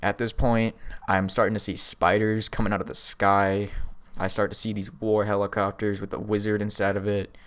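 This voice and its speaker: A man's voice: fairly low pitch, flat delivery, normal speed, clear, resonant tone. A young man casually telling a first-person story in a rambling, slangy way, often with a chuckle. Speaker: storyteller vlogger.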